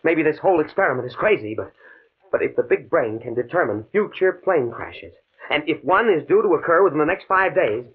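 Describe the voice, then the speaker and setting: A man's voice: on the high side, animated, brisk, husky. A man playing a determined, fatalistic professor in a 1950s radio drama, speaking in a grave, precise way. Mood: grimly determined. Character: desperate, determined male professor, coolly fatalistic scientist. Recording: muffled, band-limited old radio recording.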